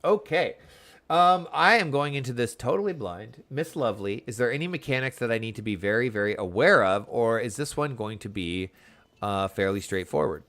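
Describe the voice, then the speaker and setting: A man's voice: medium pitch, expressive, normal speed, a bit bright. A man chatting casually and enthusiastically as he streams a video game, using slang and talking to viewers.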